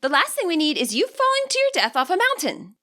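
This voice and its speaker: A woman's voice: very high voice, wildly swooping pitch, fast, bright, forceful tone, voice pushed up, exaggerated voice. A woman voicing a troubled teenage girl trainer in an audiobook, guarded and weary, holding back tears. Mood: firm, curt.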